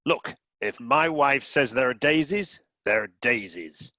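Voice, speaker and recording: Guy doing a loud bossy rich american husband, sharp voice, swoops around, sarcastic, radio comedy. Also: mid-range voice, expressive, no room sound. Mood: blustering. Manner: brash, mocking, quick to threaten. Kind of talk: comedy radio play acting.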